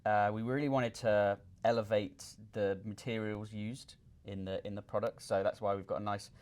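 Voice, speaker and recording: Man, fairly low pitch, product designer, informal technical explanation, halting delivery, interview setting. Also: little pitch movement, a little mellow. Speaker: loudspeaker designer and company representative.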